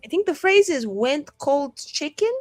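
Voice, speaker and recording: A woman's voice: sits high, big pitch swings, a little slow, no echo. A woman playing a tabletop roleplaying game, improvising in casual, slangy, sweary talk with a wry, rambling manner.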